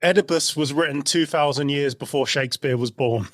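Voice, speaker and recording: A man's voice: fairly high pitch, a little fast, no room sound. British man chatting, dry close recording. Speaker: podcast co-host. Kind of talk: casual chat.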